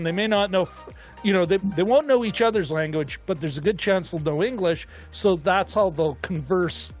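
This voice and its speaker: A man co-hosting a film discussion show, chatting casually in a rambling, animated way as he thinks aloud. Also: high-pitched. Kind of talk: casual chat.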